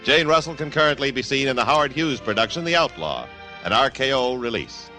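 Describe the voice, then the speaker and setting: A man's voice: medium pitch, lively intonation, a little fast, sharp tone, soft background music, low-quality recording. An American man announcing a radio show and its sponsor in scripted 1950s broadcast style.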